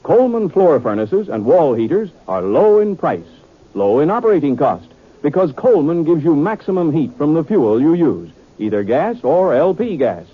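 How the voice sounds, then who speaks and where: A male announcer reads a vintage radio advertisement with a very animated swing and a rough-edged voice. The recording is thin and phone-like. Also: on the high side, normal speed. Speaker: commercial announcer. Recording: tinny, band-limited vintage radio recording.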